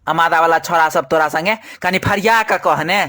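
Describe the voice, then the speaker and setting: A man's voice: fairly high pitch, moderate pace, pushed and bright, a little hiss or hum. A man voicing an officious village organizer who mediates in a comic cartoon, placating and exclaiming in a Maithili accent. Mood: curious, shocked. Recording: music behind the voice.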